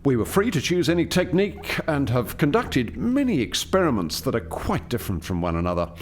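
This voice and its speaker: Man playing an animated, articulate alien chief scientist. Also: mid-range voice, fairly quick. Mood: businesslike. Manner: articulate, formal, explanatory. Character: male alien chief scientist, eager, proud, explaining his experiment. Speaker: lead scientist presenting a project. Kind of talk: radio play dialogue, expository.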